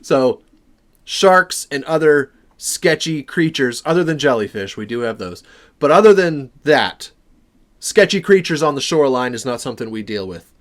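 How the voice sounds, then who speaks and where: An American man from Maine giving casual reaction commentary, rambling and jokey, often interrupting himself. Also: on the high side. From Maine, United States. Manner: animated, self-interrupting, jokey. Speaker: reaction video host.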